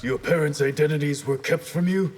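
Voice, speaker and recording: An actor playing an honourable, determined ninja warrior in a video game, earnest and formal. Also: male voice, on the high side, a little fast, natural voice. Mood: earnest, resolute. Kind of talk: video game character dialogue. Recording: loud noise behind the voice.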